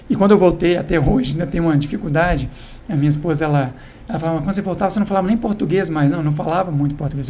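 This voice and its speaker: A man's voice: fairly high pitch, a little mellow, a little hiss or hum. A man, a guest on a podcast, giving advice in an emphatic, direct way. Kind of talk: casual interview chat.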